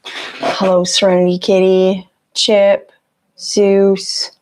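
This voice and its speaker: A woman with a raspy voice, sick with a cough, chatting casually on a livestream from her home office on a lapel mic and greeting viewers by name. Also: mid-range voice, monotone, takes it slowly, darkish tone, rough recording. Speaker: livestream host. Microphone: lapel mic on her shirt.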